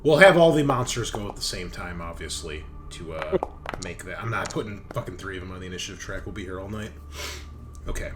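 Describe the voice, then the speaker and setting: A man's voice: low voice, expressive, a little fast, noisy background. A man running a tabletop game as game master, casually narrating and thinking aloud with informal, sweary phrasing. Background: game background music.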